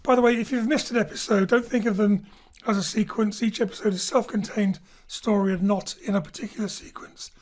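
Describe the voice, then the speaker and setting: A woman's voice: medium pitch, steady tone, brisk, darkish tone. A woman hosting a remote chat show, teasing and upbeat as she questions guests. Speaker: host.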